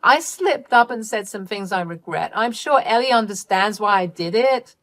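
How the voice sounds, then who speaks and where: A woman narrator acts out a scheming, spoiled younger sister in a dramatic story. She is indignant and whiny, pleading and accusing melodramatically in a higher, louder voice. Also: expressive, moderate pace, natural voice. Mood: desperate pleading.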